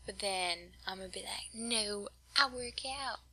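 Bright, gravelly-edged young woman gamer. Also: mid-range voice, a little slow. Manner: giggly, whispery asides. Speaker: gamer co-host. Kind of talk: casual gaming chat.

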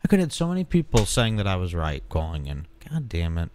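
Animated sarcastic male radio host. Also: low-pitched, a little hiss or hum. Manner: sarcastic, quick to mock callers and chat. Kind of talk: casual live radio banter. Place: small cluttered studio.

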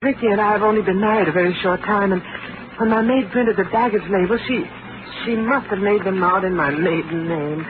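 An actress playing a frightened, insistent young newlywed wife in an old radio drama, speaking urgently. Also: female voice, medium pitch, brisk, lightly acted. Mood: explaining. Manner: urgent, pleading. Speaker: lead. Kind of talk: 1940s radio acting. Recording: dull lo-fi old radio recording, hiss.

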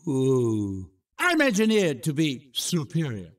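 Cocky shark alien racer for a cartoon video game, super slow drawn-out taunts and yells, a bit far from the mic. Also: male voice, medium pitch, wildly swooping pitch. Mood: cocky, taunting. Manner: boastful, exclamatory taunting. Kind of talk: video game character barks.

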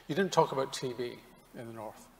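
A man moderating a conference Q&A on stage, giving brisk, conversational prompts and follow-up questions. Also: medium pitch, vocal fry. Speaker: session moderator. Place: large conference hall stage. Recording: reverberant hall.

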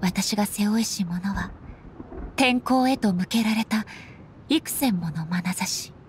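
A woman playing a stern, solemn immortal warrior goddess in a game, speaking formally and with measured deliberation. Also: medium pitch, slight background noise, lightly acted. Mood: reflective. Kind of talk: dramatic game voice acting. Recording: background music under the voice.

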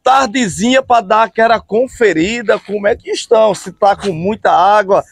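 A man, hosting a casual outdoor vlog from a bridge and filming himself on a phone at arm's length, talking in an upbeat way with drawn-out phrases. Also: sits high, gravelly, no room sound, studio quality. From Brazil. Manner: upbeat, drawn-out phrases, frequent "hein, pessoal?" tags. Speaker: channel host. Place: outdoors on a road bridge over a river. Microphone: phone camera at arm's length.

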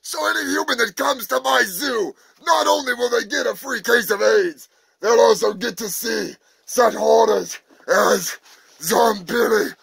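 Guy playing a crazed meth-head zoo owner, super high raspy yell, gravelly edges, crude and bragging. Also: measured pace, no echo, full character voice, low-quality recording. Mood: manic. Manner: rowdy, profane, boastful showman pitch. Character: manic meth-smoking male roadside zoo owner. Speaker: comedian in character. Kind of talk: crude sketch comedy monologue.

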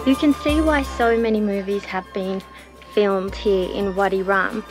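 A young woman narrating a travel vlog in a bright, enthusiastic, chatty way, filming herself on an action camera held at arm's length. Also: mid-range voice, steady tone, a little slow, over music. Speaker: travel vlogger.

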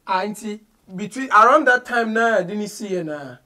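A Ghanaian-accented man in his thirties with a fairly high voice hosts a radio interview. He chats casually, dropping in brief reactions and follow-up questions. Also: lively intonation, moderate pace, bright, forceful tone. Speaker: radio show host. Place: small radio studio, indoors.

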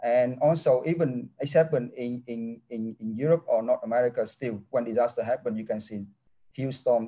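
Halting, mellow-voiced professor on a video call. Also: male voice, mid-range voice, highs cut off. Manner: halting non-native English with many restarts. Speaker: engineering professor. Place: office with large window. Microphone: laptop webcam mic on a video call.

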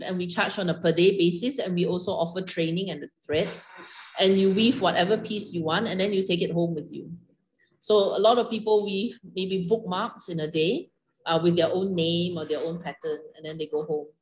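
Middle-aged woman, low-pitched, relaxed video-call Q&A speaker. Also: rough recording. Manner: relaxed, unhurried, with frequent fillers. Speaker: craft organisation founder answering questions.

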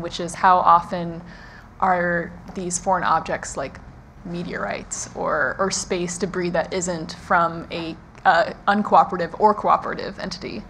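A woman's voice: on the low side, slight background noise, rough recording. A woman in the audience asking questions in an informal, casual way, peppering her speech with "like". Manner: informal, frequent "like". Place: auditorium audience seating.

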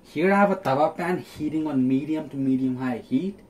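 A man's voice: fairly high pitch, moderate pace. Young man hosting, kitchen noise behind. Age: young adult. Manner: explains step by step. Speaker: home cooking show host. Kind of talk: casual cooking demonstration. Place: small home kitchen, indoors.

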